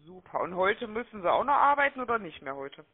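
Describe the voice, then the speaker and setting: A woman making a phone sales pitch, chatty and persuasive, often checking for agreement, heard over a telephone line. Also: big pitch swings, quick pace. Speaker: telemarketing caller. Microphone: telephone call.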